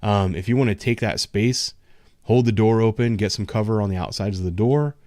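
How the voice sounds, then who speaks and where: A man's voice: on the low side, brisk, darkish tone, no room sound, clean studio sound. A man who works as a patrol officer, talking frankly and loosely in a casual livestream discussion, with many ums and restarts. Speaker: patrol officer, show host.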